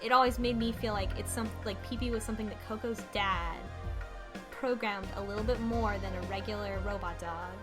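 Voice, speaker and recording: A woman co-hosting a casual chat, retelling a story informally and haltingly, acting out bits of dialogue. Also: mid-range voice, steady tone. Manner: informal, halting retelling with quoted dialogue in her own words. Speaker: podcast co-host. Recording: music playing behind the voice. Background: music.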